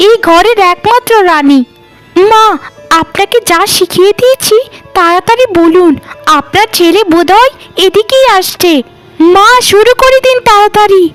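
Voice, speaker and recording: Woman acting jealous sly sister-in-law, shrill-high pitch, cartoon melodrama, theatrical, faint music. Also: normal speed, fairly bright tone, rough recording. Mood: scheming, gloating. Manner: theatrical, conniving. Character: sly, jealous, greedy elder sister-in-law plotting against bride.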